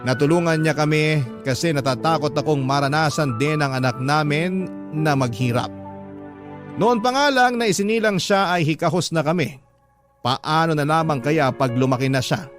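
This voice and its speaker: A man's voice: a little high, moderate pace. A man reading a letter aloud in the first person with earnest, emotional narration for a radio drama. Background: background music.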